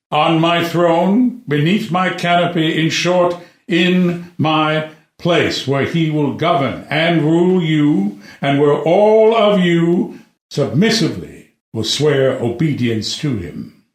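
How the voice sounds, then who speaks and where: An actor playing a proud, solemn older king in a stage play reading, speaking in deliberate oratory with weighty pauses. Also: on the high side. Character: proud, solemn older king justifying his decrees to vassals. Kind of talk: dramatic reading of a classic verse stage play. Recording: echoey room.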